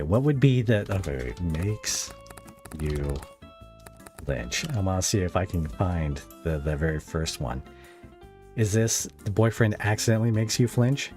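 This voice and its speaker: A man hosting a casual podcast, talking in a rambling, halting way and repeating words as he thinks. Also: low-pitched, lively intonation, darkish tone, faint music behind. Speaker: podcast host interviewing a guest.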